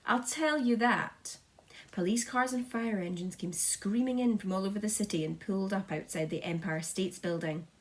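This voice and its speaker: A woman reads a children's story aloud in an expressive, teacherly way. Her telling is dramatic, and she now and then trips on a word and carries on. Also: medium pitch, a little fast, few pauses. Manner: dramatic, with occasional stumbles over words. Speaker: schoolteacher reading aloud.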